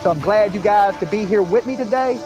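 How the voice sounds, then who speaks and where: High-pitched man narrating an outdoor search. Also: fairly quick, highs cut off, music in the background. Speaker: amateur search team leader. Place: outdoors at the edge of woods.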